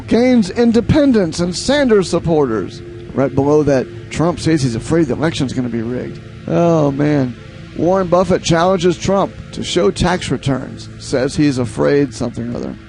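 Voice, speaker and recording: A man's voice: fairly high pitch, a little mellow. A man giving opinionated commentary on news headlines in a sarcastic manner, full of rhetorical questions. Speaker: political commentator. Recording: music playing behind the voice. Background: music.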